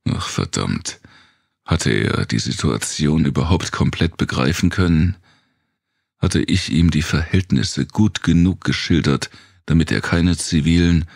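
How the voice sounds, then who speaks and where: Very deep, gravelly male audiobook narrator. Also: unhurried, a little mellow, dry recording, clean studio sound. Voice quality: gravelly, husky. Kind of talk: dramatic first-person audiobook narration of a dark dystopian thriller.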